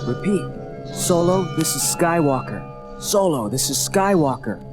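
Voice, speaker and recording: Earnest young male rebel, played by an actor, fairly high voice, direct, audio drama under loud music. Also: very animated, measured pace. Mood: tense.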